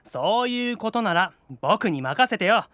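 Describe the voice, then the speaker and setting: A man voicing a nervous, excitable young demon otaku in an anime-style audio drama, flustered and eager. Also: high-pitched, measured pace, dry recording, clean studio sound, full character voice.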